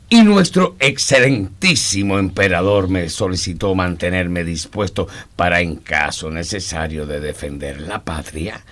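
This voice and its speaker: An actor playing a proud, honor-bound retired army colonel in a historical radio drama, formal, pompous and emphatic. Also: male voice, sits low, a bit bright.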